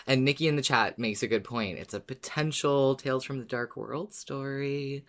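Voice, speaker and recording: A woman's voice: rumbling low, normal speed. A woman co-hosting a podcast in casual chat, agreeing readily in short interjections. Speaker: podcast co-host.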